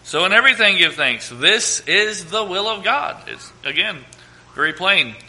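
A man preaching a sermon as pastor, hoarse from a cold, reading scripture slowly and with emphasis. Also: high voice, expressive, pushed and bright. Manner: reads scripture slowly and emphatically, asks congregation to quote verses.

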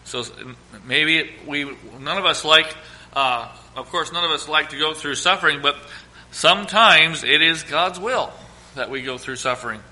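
Hoarse male pastor with a cold, bright and slightly high voice, preaching scripture to a congregation. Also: poor audio. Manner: reads scripture slowly and emphatically, asks congregation to quote verses. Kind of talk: sermon.

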